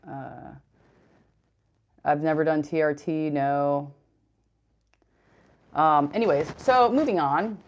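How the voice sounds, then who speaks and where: Deep-voiced woman, chatty livestream host. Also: darkish tone. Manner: chatty, rambling, reads chat comments aloud. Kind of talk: casual livestream chat.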